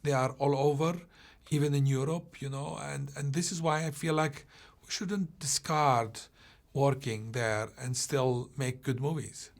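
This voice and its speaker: A man from Palestine, a film director, answering interview questions in a halting way, often restarting as he searches for words. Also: mid-range voice, steady tone, creaky.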